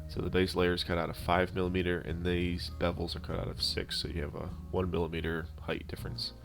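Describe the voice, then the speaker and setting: A man narrating a DIY prop-building tutorial in a casual, unscripted and halting way. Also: low voice, completely flat delivery, gravelly. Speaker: DIY prop-making video host. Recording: instrumental music behind the voice. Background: music.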